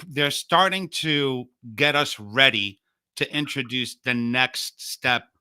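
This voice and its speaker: Very bright, crisp male panelist. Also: medium pitch. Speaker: podcast panelist. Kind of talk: casual discussion, giving opinion.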